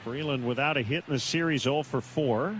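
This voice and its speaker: A man's voice: medium pitch, brisk, a bit bright. A man doing live baseball play-by-play as a radio announcer from a stadium broadcast booth. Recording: clear noise behind the voice. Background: outdoor ambient stadium noise.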